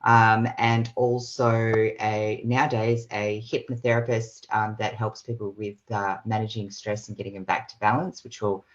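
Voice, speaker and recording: A woman's voice: rumbling low, level tone, moderate pace, hardly any pauses, highs cut off. A woman hosting a casual video call podcast, rambling through a long introduction with many fillers. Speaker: podcast host. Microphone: video call mic.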